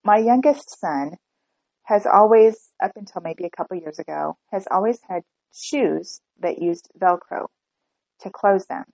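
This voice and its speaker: A woman's voice: mid-range voice, measured pace, gravelly, a little mellow, dry recording, rough recording. A middle-aged woman hosting her own podcast, talking earnestly and chattily while getting over a cold, with small restarts and ums. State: has a cold. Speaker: podcast host. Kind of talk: solo podcast talk.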